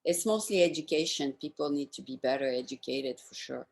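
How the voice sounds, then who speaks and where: A woman's voice: very deep voice, a little fast, vocal fry, darkish tone. A woman, hosting a casual conversational interview. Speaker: talk show host.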